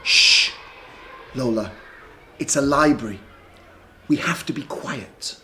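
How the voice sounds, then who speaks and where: A man voicing a sensible older brother in a storybook, calm and reasoning. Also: a little high, moderate pace, slight background noise, close to an everyday voice. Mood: patient. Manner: calm, reasoning, gently shushing. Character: patient older brother, young boy, sensible.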